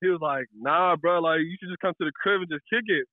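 A man's voice: a little high, fast, no echo, narrow, tinny audio. A young American man, a caller casually telling a personal story in slangy, profane language.

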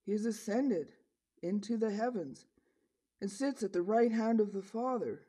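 A woman voicing an earnest disciple puppet, telling a story to children in a deliberate manner. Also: medium pitch, mellow, breathy edge, close to an everyday voice. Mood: solemn. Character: earnest disciple puppet recounting Jesus' return.

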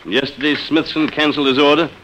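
A man's voice: a little high, fairly even intonation, fast talker, natural voice. An actor playing a harried, exasperated salesman husband who frets and blusters, in 1950s radio drama style. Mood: fretful. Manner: fretful, blustering. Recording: noisy, crackly old radio recording.

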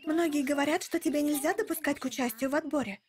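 Woman as a very high-pitched gentle girl. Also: fast, natural voice. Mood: gentle, calm. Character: gentle, earnest teenage girl. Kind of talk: animated series dub.

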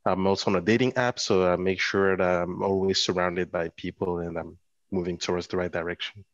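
A man's voice: deep voice, steady tone, brisk, no room sound. A man in his thirties from France, talking plainly and earnestly as a guest on a panel. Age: 30s. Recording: dull dry video-call audio.

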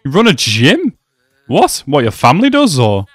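A man's voice: fairly high pitch, huge pitch swings, normal speed, no echo. A man in his twenties giving slangy, amused reaction commentary in a casual way, close to a desk microphone. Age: 20s. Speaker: reaction video host. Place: small indoor room.

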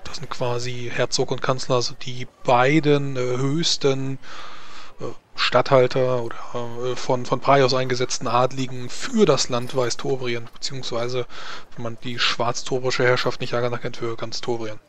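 A man's voice: mid-range voice, level tone, moderate pace, a bit bright. A man running and playing a tabletop roleplaying game, talking in a casual, jokey way. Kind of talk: tabletop roleplay, explaining and acting scenes. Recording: clearly noisy microphone.

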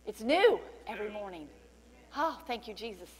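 A middle-aged woman pastor preaching a sermon with fervent praise and scripture reading, her voice slightly cracking from loudness. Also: medium pitch, sing-song, normal speed. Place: large church stage indoors. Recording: long hall reverb, faint hum.

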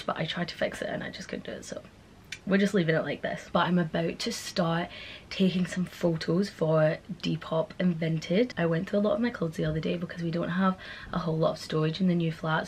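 A woman's voice: lowish voice, a little mellow, slight background noise. Chatty young woman vlogging at home. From Scotland. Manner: chatty, upbeat, friendly. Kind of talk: casual vlog chat. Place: bedroom at home, indoors.

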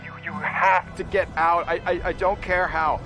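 A man's voice: sits high, steady tone, moderate pace, pushed and bright, faint music behind, poor audio. An actor playing a desperate young soldier pleading with his mother in a game cutscene. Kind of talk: dramatic game cutscene acting.

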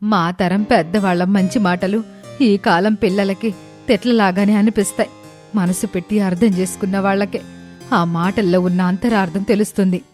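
A woman's voice: mid-range voice, steady tone, moderate pace, a little mellow, faint music behind, lightly acted. A woman voicing an elderly widowed mother, dignified and hurt, speaking with emotional, emphatic reproach. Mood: hurt, proud. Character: wounded, dignified elderly widowed mother rebuking her sons.

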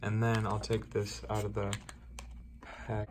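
A young man chatting casually as a coin-collecting vlogger, relaxed and rambling with filler words, filmed on a phone. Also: lowish voice, measured pace, noisy background. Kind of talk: casual vlog chat. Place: car, then indoors at a desk.

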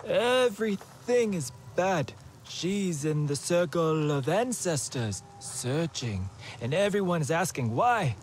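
An excitable, worried alien healer in a game cutscene. His voice sits a little high and swings widely. The delivery is earnest and halting, over faint noise. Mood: anxious, troubled. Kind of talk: dramatic video game cutscene acting.

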